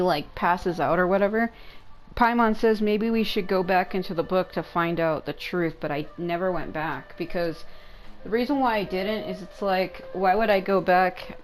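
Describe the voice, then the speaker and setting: A woman's voice: on the low side, poor audio. A woman giving casual, rambling, informal commentary as she hosts a game playthrough. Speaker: gaming video host.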